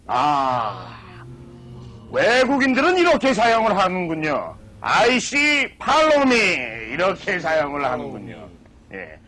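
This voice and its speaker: A man on a comedy stage giving a mock lecture, drawing out his words slowly for emphasis. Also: extremely high pitch, a bit bright. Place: stage with live audience.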